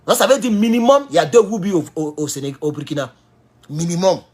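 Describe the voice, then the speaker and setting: Husky, lively Ivorian man ranting. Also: fast. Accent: Ivorian French. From Ivory Coast. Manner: heated, emphatic, swings between loud high outbursts and low mutters. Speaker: political commentator. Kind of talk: political commentary monologue. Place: at home in California.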